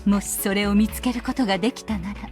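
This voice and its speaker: Woman acting a very fast, gentle advisor. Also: medium pitch, fairly even intonation, over music, lightly acted. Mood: pleading, tender. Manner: polite, earnest. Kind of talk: anime-style visual novel acting.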